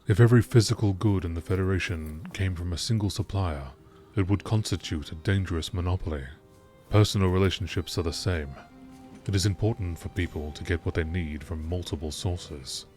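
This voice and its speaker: A man's voice: deep voice, brisk, darkish tone, soft background music. A man reading scripted text as a narrator, in the style of a nature documentary. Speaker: narrator reading game lore entries.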